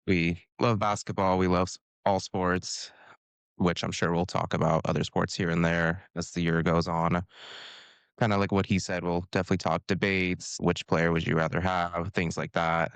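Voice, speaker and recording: A man's voice: sits low, little pitch movement, normal speed, creaky, clear, resonant tone, no room sound. A man from Washington co-hosting a casual basketball podcast, laid-back and hesitant with lots of fillers. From Washington, United States. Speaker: podcast co-host. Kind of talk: casual sports podcast talk.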